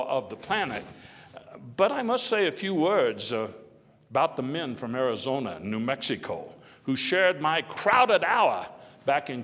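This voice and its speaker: A man playing a genial, self-deprecating old statesman who tells stories in a halting, folksy after-dinner speech. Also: medium pitch, a bit bright. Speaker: historical reenactor giving a speech. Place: large indoor hall before an audience. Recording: echoey hall, noisy live recording.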